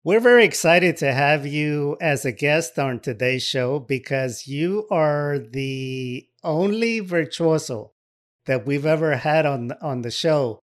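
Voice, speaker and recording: A man hosting a podcast, reading an upbeat scripted introduction and then asking questions with some hesitation. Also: on the high side. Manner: earnest, promotional delivery with fillers in questions. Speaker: podcast host.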